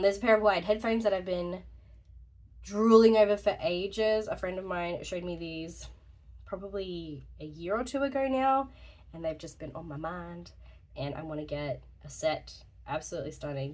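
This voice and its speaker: Chatty woman, slightly congested. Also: fairly low pitch. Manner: chatty and rambling, laughs often, self-deprecating. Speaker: journaling YouTuber. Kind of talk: rambling casual vlog update.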